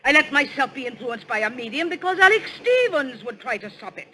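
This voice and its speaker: Piercing, murderous older aunt. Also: female voice, sits high, very animated, brisk, pushed and bright, full character voice. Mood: defiant, confessing. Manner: melodramatic, intense. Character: murderous older aunt, widow, defiant and bitter. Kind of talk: old-time radio mystery acting.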